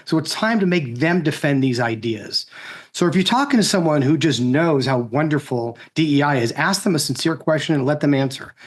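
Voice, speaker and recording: Man in his sixties, slightly high voice. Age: 60s. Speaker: co-host and co-founder speaking. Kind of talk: conversational political speech introducing a guest.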